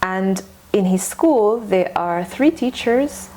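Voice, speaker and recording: A woman's voice: mid-range voice, lively intonation, takes it slowly, mellow. A woman speaking as a guest in a conversational discussion, thoughtful and earnest. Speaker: podcast guest.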